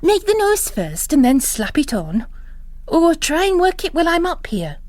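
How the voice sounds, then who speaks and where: A woman's voice: very high-pitched, wildly swooping pitch, normal speed, darkish tone, no room sound, voice pushed up, exaggerated voice. A woman voicing a spry, plain-spoken young nun in a dramatised novel reading, chatty and matter-of-fact. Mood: brisk.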